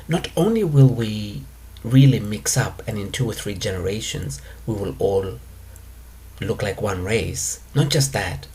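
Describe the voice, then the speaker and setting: Calm man giving an animated spiritual lecture. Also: mid-range voice. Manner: calm, explanatory, with drawn-out pauses. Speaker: spiritual teacher answering questions. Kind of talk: monologue lecture.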